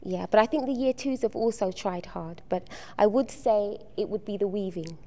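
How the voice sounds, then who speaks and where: A middle-aged woman from the UK, soft-spoken and hesitant with frequent ums, answering questions as an art exhibition organizer at a school event. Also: medium pitch, normal speed. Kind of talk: on-location TV interview.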